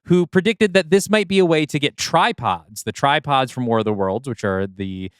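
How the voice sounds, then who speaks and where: A man's voice: mid-range voice, normal speed, clear, resonant tone, no room sound, clean studio sound. A man in his thirties co-hosting a casual podcast, easygoing and teasing, with frequent fillers. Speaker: podcast co-host.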